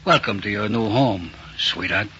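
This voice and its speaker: An actor playing a coldly vengeful gangster husband with a sardonic, clipped delivery in a 1940s radio crime drama. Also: male voice, fairly low pitch, normal speed, music in the background, lightly acted. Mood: cold menace. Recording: dull, noisy 1940s radio recording.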